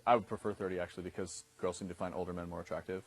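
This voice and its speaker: A man's voice: sits low, level tone, racing, slightly rough. A man in his thirties teaching a class in a conversational way, often checking in with his listeners as he explains. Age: 30s. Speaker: dating coach instructor. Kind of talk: instructional talk to a class.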